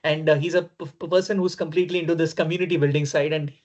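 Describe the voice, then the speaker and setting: A man's voice: on the high side, steady tone, darkish tone. A man of Indian origin hosting a podcast, asking questions in casual chat and often saying "you know". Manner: quick, with frequent "you know" and short reactions. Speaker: podcast host. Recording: dull lo-fi remote audio.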